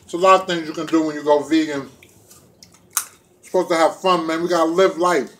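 Higher-pitched man chatting casually over food. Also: fairly even intonation, a little fast, a bit bright, a little hiss or hum. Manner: laid-back, playful, colloquial. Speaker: vegan food vlogger. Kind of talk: casual chat to camera while eating. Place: small room indoors at home. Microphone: phone or camera propped within arm's reach.

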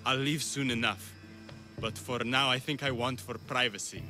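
Game role: lovelorn philosopher of middle age, gentle and reflective, mid-range voice over a music bed. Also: male voice, medium pitch, fairly even intonation, normal speed. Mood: grieving, resigned. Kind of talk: video game dialogue acting.

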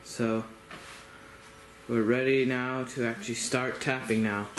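A man's voice: medium pitch, level tone, a little slow. A teenage boy casually explaining step by step how to tap birch trees, recorded on a camera outdoors in snowy woods and indoors. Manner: plain step-by-step explaining with restarts. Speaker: homestead vlogger. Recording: loud outdoor background noise.

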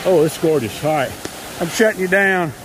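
A man narrating a hike casually in a rambling, wry, halting way, recorded outdoors on a handheld camera. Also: a little high, expressive, noisy background. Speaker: hiking vlogger. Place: outdoors on a forest trail in rain.

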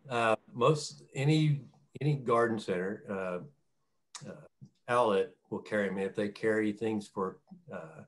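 Lowish-voiced middle-aged man, plain-spoken. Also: a little slow, low-quality recording. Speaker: farmer, guest. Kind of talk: casual interview talk. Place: small wood-panelled room at home. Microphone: computer mic on a video call.

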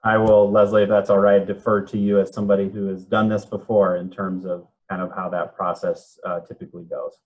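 A man with a low, deep voice and very little melody speaks as a city official on a remote meeting call. His phrasing is careful and hesitant. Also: level tone, brisk, few pauses, rough recording. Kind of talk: explaining in a remote council meeting. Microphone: phone or video call.

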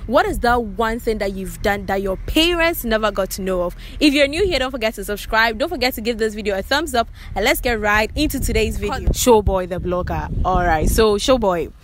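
A woman's voice: mid-range voice, animated, a little fast, hardly any pauses. A young woman with a Ghanaian English accent, hosting a street interview vlog with a handheld mic outdoors, bubbly and teasing, laughing often. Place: outdoor university sports court. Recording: outdoor noise behind.

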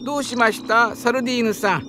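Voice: medium pitch, steady tone, fast, heavy background noise, close to an everyday voice. An actor playing a helpful, fussy robot uncle in a Japanese audio drama, speaking formally and courteously. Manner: courteous, formal, mildly flustered. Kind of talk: Japanese anime-style audio drama acting.

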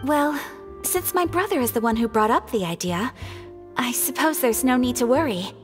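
An actress voicing a gentle, polite young noblewoman in a video game, with courteous delivery. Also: fairly high pitch, lively intonation, normal speed, big-room sound, soft background music, natural voice.

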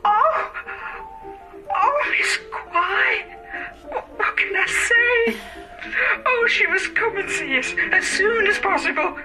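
An actress playing a grieving older mother in a BBC radio drama, breathless and tearful, with loud music behind. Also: very high-pitched, animated, takes it slowly, exaggerated voice, poor audio. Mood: overwhelmed. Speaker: Mrs Skello.

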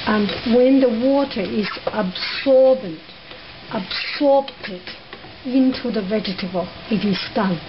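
Slow, higher-voiced woman cooking at home. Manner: slow, plain step-by-step explaining. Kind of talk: casual cooking demonstration. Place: home kitchen. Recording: lo-fi home video with kitchen noise.

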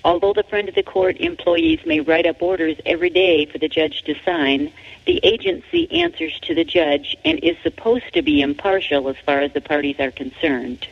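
A woman with an American accent reads scripted narration from her own book in a formal, measured manner. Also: low-pitched. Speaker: author reading own book. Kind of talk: scripted narration, read aloud. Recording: dull lo-fi radio-like audio.

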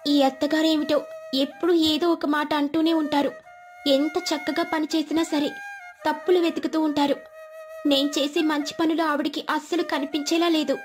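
A woman's voice: high-pitched, little pitch movement, moderate pace, music in the background, lightly acted. A woman voicing a meek, troubled young mother sparrow with a plaintive, pleading manner in a cartoon story. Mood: aggrieved.

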